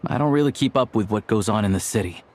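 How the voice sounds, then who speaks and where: Low-voiced, understated village man, acted by a man. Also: fast, a little hiss or hum, lightly acted. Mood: guarded. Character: guarded, plain-spoken man from abandoned village, quietly kind. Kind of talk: video game cutscene acting.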